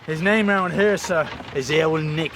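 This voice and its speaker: An actor playing a chatty, deferential Irish servant and driver with an Irish accent, talking colourfully in a radio drama. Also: male voice, on the high side, unhurried, natural voice. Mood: bitter, gossipy. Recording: noisy outdoor vehicle sound effects.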